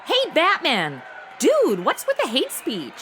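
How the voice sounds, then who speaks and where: Sassy young woman, snarky, slangy, highish very animated voice, comedic audio drama. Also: takes it slowly, a loud crowd, full character voice. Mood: feisty, indignant. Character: Madison, sassy modern young woman stuck playing Robin. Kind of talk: comedic audio drama acting with wry asides.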